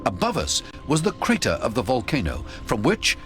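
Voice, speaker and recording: A man narrating an adventure audiobook in the first person, theatrical and expressive. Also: mid-range voice, moderate pace, music in the background.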